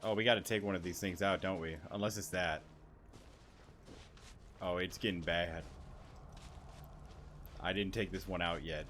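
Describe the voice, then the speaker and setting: A man's voice: low voice, moderate pace, long pauses, a little hiss or hum. A man giving casual, jokey commentary while playing a video game. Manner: rambling, jokey and excitable. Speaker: gaming streamer.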